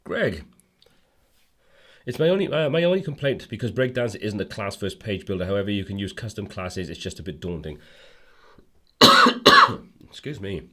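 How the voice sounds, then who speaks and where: Host type: hoarse man with a cold, lowish voice, casual rambling livestream chat. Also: lively intonation, normal speed, pauses often. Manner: rambling, friendly, many fillers and restarts. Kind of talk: casual livestream chat reading viewer comments.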